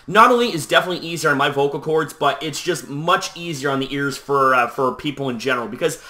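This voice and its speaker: Chatty young man, bright, toned-down voice. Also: fairly high pitch. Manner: loose and chatty, heavy on "you know"; talking at a deliberately toned-down volume. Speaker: YouTube host. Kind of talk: casual talk to camera.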